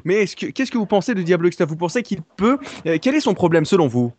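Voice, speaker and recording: A man's voice: sits high, expressive, fast talker, a little mellow. A man playing an earnest TV news anchor in a prank call, insistent and repetitive, stumbling over his words. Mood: mock serious. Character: earnest male TV news anchor on live breaking news. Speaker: prank caller. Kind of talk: mock live news reporting.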